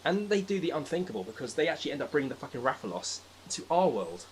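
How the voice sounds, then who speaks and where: A man's voice: on the high side, big pitch swings, fast talker, slight background noise, poor audio. A British man in a casual, opinionated film discussion, talking emphatically with exasperated, sweary rants. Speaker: podcast speaker.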